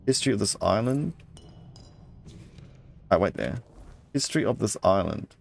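A man's voice: on the low side, lively intonation, normal speed, gravelly. A man playing a video game, casually commenting, reading in-game texts aloud and muttering asides to himself. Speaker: let's play gamer.

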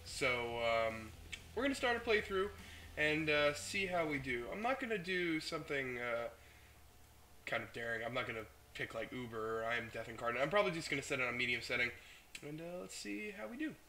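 A young man who is sick with the flu talks loosely over his gameplay, a little hoarse and quick to swear at what happens on screen. His voice sits at an ordinary pitch. Clear background noise hangs in his home recording. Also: expressive. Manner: profane, reacts out loud to the game. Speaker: gaming host. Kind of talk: casual gameplay commentary. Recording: noisy home mic recording.

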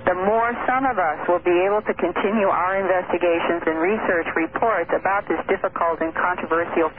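A woman talks earnestly and precisely as a radio guest. Her voice comes through a narrow, phone-like line with faint noise. She words things formally and carefully. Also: lowish voice. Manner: measured, articulate, formal wording. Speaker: radio guest, researcher and author. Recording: noisy narrow-band telephone radio line.

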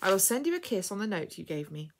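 A woman voicing a kindly, devout captive cook in a story, speaking softly and invitingly in a motherly way. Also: mid-range voice, very animated, quick pace, slight background noise. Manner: soft, inviting.

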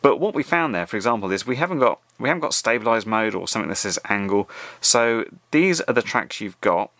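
Low-voiced middle-aged man, casual tutorial. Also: lively intonation, a little fast, a bit bright, dry recording. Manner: informal, explanatory, conversational. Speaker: host of an RC radio tutorial.

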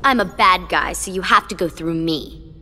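A woman's voice: fairly low pitch, moderate pace, long reverb tail. An actress voicing a sadistic young female villain in a game, taunting with a mocking sing-song manner. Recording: game cutscene with loud music.

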